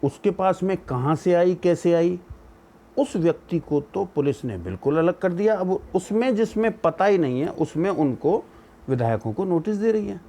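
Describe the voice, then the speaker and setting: A man's voice: high voice, expressive, normal speed, dark tone. A man giving an explanatory legal commentary, arguing through rhetorical questions and mixing in English legal terms. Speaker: legal educator giving opinion.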